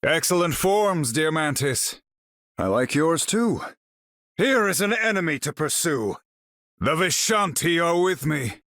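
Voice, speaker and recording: An actor playing a proud, battle-ready sorcerer hero in a video game, speaking in a grandiose, formal, theatrical manner. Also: male voice, on the high side, sing-song, a little slow, a bit bright, dry recording, clean studio sound, exaggerated voice. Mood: commanding, urgent, then proud, solemn. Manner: grandiose, formal, incantatory delivery. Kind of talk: video game character voice lines.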